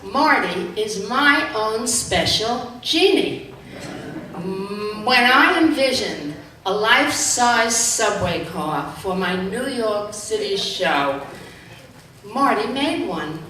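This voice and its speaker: A woman giving a thank-you speech as an amateur theatre director, projecting emphatically with pauses between phrases. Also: mid-range voice, expressive, pushed and bright. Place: large hall, live stage event. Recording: very echoey noisy hall, far off.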